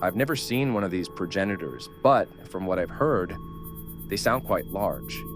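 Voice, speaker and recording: A man playing a gruff, world-weary survivor who takes charge in an audio horror drama. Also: deep voice, expressive, normal speed, over music. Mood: wary.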